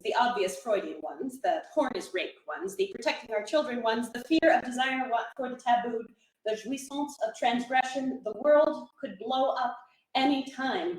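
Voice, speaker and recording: High-voiced woman reciting poetry, echoey room. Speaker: dramatic reader at a poetry event. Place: reading room at a live literary event.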